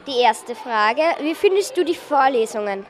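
A woman's voice: on the high side, lively intonation, normal speed. A young girl, working through questions as a radio interviewer. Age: child, about 8 to 12.

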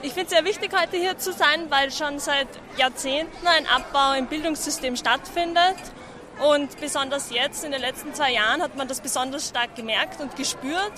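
A woman's voice: sits high, moderate pace, noisy background. A young woman, a student activist giving earnest, carefully phrased answers in a street interview. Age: young adult. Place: outdoors at a demonstration. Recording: outdoor recording amid demonstration crowd.

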